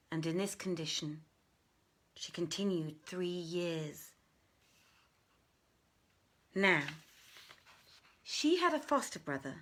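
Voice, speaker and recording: A woman reading a literary tale aloud as a storyteller, with theatrical, deliberate and expressive phrasing. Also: fairly low pitch. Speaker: storyteller, reader.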